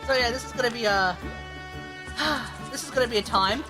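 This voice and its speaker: A man chatting casually and excitably on a gaming livestream, rambling and correcting himself as he goes. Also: extremely high pitch, a little fast, music in the background. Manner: animated, rambling, exclamatory, self-correcting. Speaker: live streamer.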